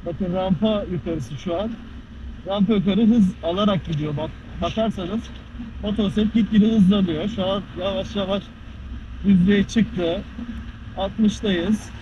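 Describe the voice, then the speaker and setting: A man's voice: sits high, fairly even intonation, a little slow. A man chatting casually as he rides a scooter through city traffic, explaining the bike as he goes. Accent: Istanbul Turkish. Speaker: motovlogger. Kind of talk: casual riding commentary. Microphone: helmet-mounted action camera. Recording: muffled action-camera audio with faint traffic noise.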